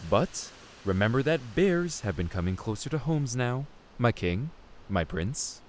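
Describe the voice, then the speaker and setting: A man's voice: medium pitch, sing-song, measured pace. A man playing a patient, dutiful royal knight in a fantasy audio drama, respectful and formal. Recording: clear background hiss.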